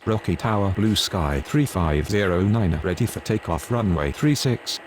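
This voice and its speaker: A man with a fairly low voice speaks formulaic aviation radio calls between pilot and controller. Faint vehicle-like ambient noise runs behind him. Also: expressive, normal speed. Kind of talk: scripted aviation radio phraseology.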